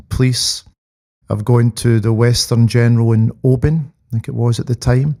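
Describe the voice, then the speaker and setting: Middle-aged Scottish male guest, creaky and mellow, reflective personal recollection. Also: mid-range voice. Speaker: podcast guest.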